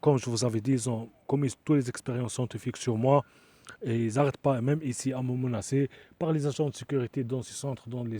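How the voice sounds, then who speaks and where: A man giving an earnest, insistent appeal straight to camera, halting often with fillers and restarts. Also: medium pitch, mellow, no room sound. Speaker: asylum seeker making an appeal video. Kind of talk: direct-to-camera appeal monologue.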